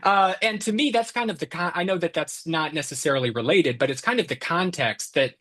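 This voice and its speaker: A man's voice: a little high, fairly quick, a bit bright. A man hosting a podcast, speaking in a chatty, rambling, self-deprecating way. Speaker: podcast host. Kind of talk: casual conversational discussion.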